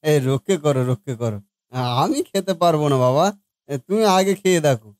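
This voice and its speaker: Man playing a high-voiced, timid cartoon husband. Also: animated, fairly quick, darkish tone, no room sound, clean studio sound, close to an everyday voice. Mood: pleading, evasive. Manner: stammering, pleading. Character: timid, doubtful young husband dreading his wife's cooking. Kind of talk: Bengali family comedy cartoon dubbing.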